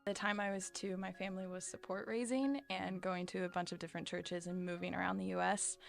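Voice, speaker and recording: Gravelly-voiced young woman guest. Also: fairly low pitch, fairly even intonation, brisk, soft background music. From United States. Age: 20s. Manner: candid, filler-heavy. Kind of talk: casual interview answers.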